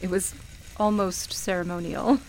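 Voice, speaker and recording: A woman's voice: on the low side, steady tone, unhurried, a little hiss or hum, close to an everyday voice, rough recording. An actress in a radio play playing a sincere, wistful woman who reminisces hesitantly about her life. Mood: hesitant, wondering. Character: wistful woman grieving her beloved grandmother at Christmas. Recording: music playing behind the voice. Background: music.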